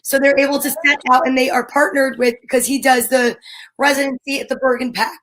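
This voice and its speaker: A woman co-host with a notably high voice talks casually. She explains things in a conversational way, working out her thoughts aloud. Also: little pitch movement, a little fast, fairly bright tone, a little hiss or hum. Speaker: co-host of a comedy talk show. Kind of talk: casual chat.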